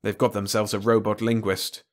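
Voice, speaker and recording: A man's voice: fairly low pitch, flat delivery, moderate pace, lightly acted. A man voicing a gloomy Slavic spaceship crewman with a dry, sardonic manner in an audiobook story. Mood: grumbling.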